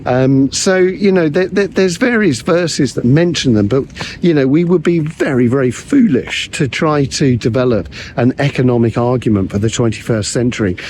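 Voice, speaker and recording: Croaky man teaching theology. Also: mid-range voice, darkish tone, slight background noise. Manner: measured, thoughtful, with frequent ums and uhs. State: croaky from recent illness. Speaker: pastor and theologian. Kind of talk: theological teaching talk to camera.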